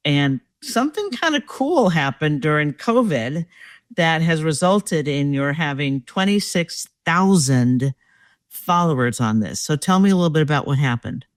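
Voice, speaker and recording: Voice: mid-range voice, lively intonation, no echo, clean studio sound. An executive coach hosting an interview, guiding the guest with questions and reading captions aloud. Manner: guiding, reads captions aloud, pauses to check pronunciation.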